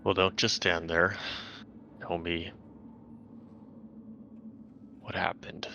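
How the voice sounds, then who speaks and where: A man voicing a big, grumpy, impatient station worker in a tabletop game, curt and gruff, with a gravelly voice. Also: sits low, level tone, unhurried, highs cut off, background noise, pitched below the performer's own voice. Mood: annoyed, demanding.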